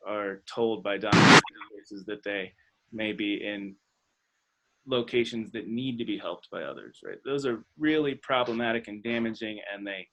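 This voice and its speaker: A man hosting an online webinar discussion, speaking in a thoughtful, tentative and halting academic manner. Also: fairly low pitch, vocal fry, pushed and bright, low-quality recording. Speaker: webinar host and facilitator. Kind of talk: hesitant, thoughtful facilitated discussion. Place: online video call.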